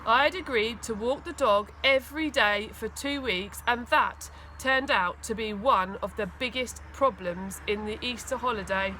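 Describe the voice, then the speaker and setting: A woman reading a children's story aloud in an expressive, dramatic way. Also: on the high side, unhurried, hardly any pauses, a little hiss or hum. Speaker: school teaching assistant reading aloud.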